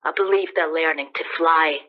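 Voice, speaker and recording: A woman's voice: sits low, moderate pace, natural voice. Woman playing a defiant soldier survivor, thin phone-like sound. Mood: grim, resigned. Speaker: amateur actor in a radio play. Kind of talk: dramatised radio play dialogue.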